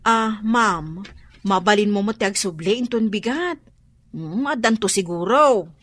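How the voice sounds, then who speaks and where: An actress playing a chatty household maid with a gossipy, playful manner and an Ilocano accent. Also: female voice, mid-range voice, expressive, measured pace, fairly bright tone, a little hiss or hum.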